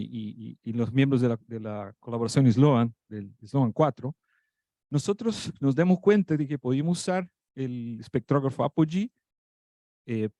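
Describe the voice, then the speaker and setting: A man's voice: medium pitch, warm tone, dry recording, studio quality. A man whose first language is Portuguese, speaking with a Portuguese accent as guest speaker at an academic talk. He talks casually, with many fillers and self-corrections. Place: lecture room.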